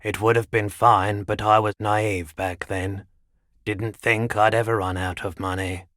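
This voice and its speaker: Worn-down shopkeeper, video game dialogue, low-pitched, very slow delivery, understated, resigned. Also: male voice, little pitch movement, a bit bright, no echo, studio quality. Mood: subdued, confiding. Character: haggard, low-key pawnshop keeper, weary and indebted.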